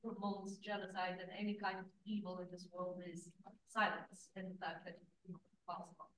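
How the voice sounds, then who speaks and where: A woman's voice: fairly low pitch, level tone, moderate pace, a bit bright. Woman, audience comment heard from afar in an echoey hall with faint hiss. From Romania. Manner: earnest, thinking aloud with fillers. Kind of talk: conference discussion remark. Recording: echoey room, distant mic, faint hiss.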